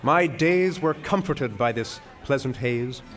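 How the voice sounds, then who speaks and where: An actor playing a pompous, self-dramatizing retired philosophy professor in a stage comedy, speaking in wordy, self-mocking speeches. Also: male voice, medium pitch, expressive, takes it slowly, music in the background. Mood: grandiose, self-mocking. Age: older. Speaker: actor in an audio play.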